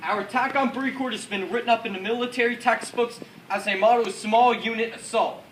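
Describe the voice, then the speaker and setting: A man performs as an earnest American paratrooper officer declaiming his war memories in a stage drama. The voice is bright and sharp for a soldier. It comes from a distance in an echoey room. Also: very high-pitched, steady tone, fairly quick, a little hiss or hum. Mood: solemn, resolute. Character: male American WWII paratrooper officer, resolute, recalling battle. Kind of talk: rehearsed dramatic war monologue.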